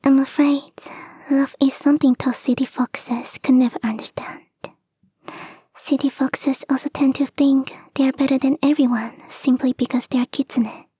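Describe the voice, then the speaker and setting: A woman voicing a playful, devoted kitsune fox girl in a soft, intimate, whispery ASMR roleplay, teasing and breathy, close to the mic. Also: high voice, level tone, normal speed, no room sound. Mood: fond, wry. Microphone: close microphone, dry.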